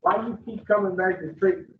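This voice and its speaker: A man's voice: sits high, fairly quick, a little hiss or hum. A man, bluntly questioning new clients at an addiction treatment intake. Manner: blunt, confrontational, drawling. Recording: far-off phone-like audio in an echoey room.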